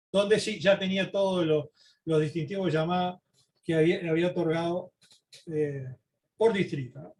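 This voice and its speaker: High-pitched man giving a halting lecture. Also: a little mellow. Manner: halting, repeats words, frequent questioning tags. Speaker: presenter giving a history talk. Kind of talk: informal lecture talking through slides.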